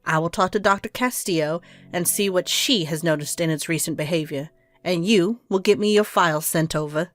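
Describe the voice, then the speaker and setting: A woman's voice: lowish voice, moderate pace, no room sound, natural voice. An actor playing a cold, impatient woman doctor in an audio drama, curt, clinical and scheming. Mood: impatient, commanding.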